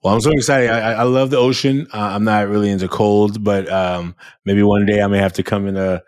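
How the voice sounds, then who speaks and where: Host, male, low loud voice, animated chat, dry close sound. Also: brisk, clean tone, no echo. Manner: animated, restarts often, loud voice. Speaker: podcast host. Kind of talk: casual interview chat.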